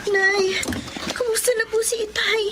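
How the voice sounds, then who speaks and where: Tearful young daughter, radio drama, very high voice, pleading, noisy background. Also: female voice, fairly even intonation, unhurried. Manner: pleading, anxious questions.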